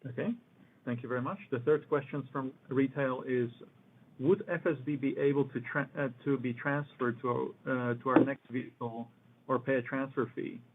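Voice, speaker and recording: A man moderating a formal question session, reading out submitted questions in a halting way. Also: medium pitch, fairly even intonation. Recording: noisy thin phone line with clicks.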